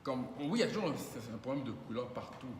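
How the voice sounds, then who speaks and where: A man's voice: medium pitch, quick pace, echoey room, in a large hall, slight background noise. A man with a Quebec French accent, hosting a casual podcast chat and dropping English phrases into his talk. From Montreal, Quebec. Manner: relaxed, mixes in English phrases. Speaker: podcast host.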